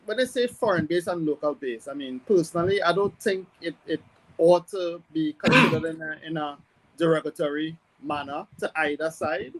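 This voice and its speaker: A man giving conversational sports analysis as a guest, hesitant and measured as he thinks aloud. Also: on the high side, a little hiss or hum, rough recording. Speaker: football pundit guest.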